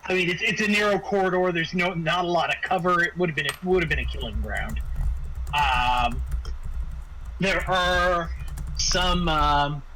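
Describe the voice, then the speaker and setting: A man narrating a tabletop roleplaying game as game master, improvising scenes in a halting way as he thinks aloud. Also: sits high, sharp tone, slight background noise, low-quality recording.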